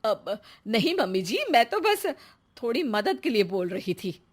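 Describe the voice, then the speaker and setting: Woman playing a slow-spoken middle-aged mother-in-law. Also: a little high, sing-song. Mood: meek protesting. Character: middle-aged mother-in-law, bossy yet meek before her elder. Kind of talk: dramatised Hindi moral story.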